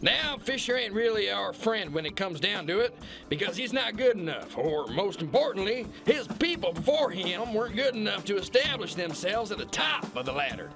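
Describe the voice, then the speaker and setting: A man's voice: sits high, lively intonation, moderate pace, gravelly, music in the background. A man playing a bombastic, corrupt kingpin boss in an audio drama, blustering, mocking and condescending. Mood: condescending, gloating. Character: bullying, corrupt alpha-male kingpin boss, bombastic and scornful. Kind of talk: theatrical radio-play acting.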